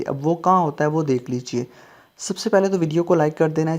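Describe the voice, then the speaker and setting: A man's voice: fairly high pitch, darkish tone. A man hosting a casual tech tutorial, explaining steps in an instructional way with repeated check-in phrases. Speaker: host of a tech tutorial channel. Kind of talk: casual app tutorial narration.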